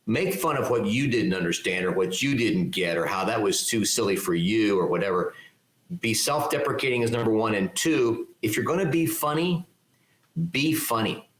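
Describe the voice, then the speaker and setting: An older man with a fairly low voice, talking loud and clear and laying out his points with emphasis. He is recorded in a roomy, echoey space. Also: animated, moderate pace. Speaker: keynote speaker and leadership mentor. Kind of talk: interview answers, conversational.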